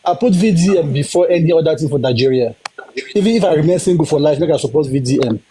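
A man's voice: a little high, lively intonation, fast, a crowd in the background. A man from Cameroon with a Cameroonian accent, chatting earnestly in pidgin as a caller on a live stream. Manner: earnest, eager, pleading. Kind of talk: pidgin casual chat.

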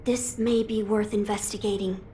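A woman playing a young noble boy lord in a video game, formal, measured and pensive. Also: medium pitch, fairly even intonation, mellow, a little hiss or hum, close to an everyday voice. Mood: grave, pensive.

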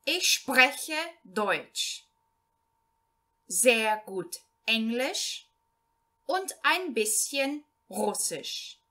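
A woman reading the lines of a man introducing himself, slowly and clearly, in a beginner language lesson. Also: a little high, sing-song, sharp tone, full character voice. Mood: plain. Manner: slow, clearly repeated reading for learners. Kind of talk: read-aloud language lesson.

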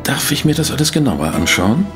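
An actor playing a polite, pensive private detective who narrates and investigates in a German audio drama. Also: male voice, mid-range voice, big pitch swings, a little fast, music in the background, close to an everyday voice. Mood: pensive, curious. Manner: courteous, pensive, hesitant. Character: polite, inquisitive male private detective, narrating his case.

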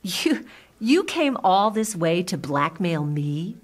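A woman's voice: lowish voice, sing-song, slow, full character voice. A woman voicing a guarded, jaded doctor in a comic-book drama, with a wary, dry delivery. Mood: defensive. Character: guarded, jaded adult female doctor with secrets.

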